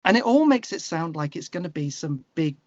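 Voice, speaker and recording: Male interview guest, slightly higher register, conversational and opinionated, working through thoughts aloud, on a dull lo-fi recording. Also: sing-song, fairly quick, dry recording. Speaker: interview guest, musician and songwriter.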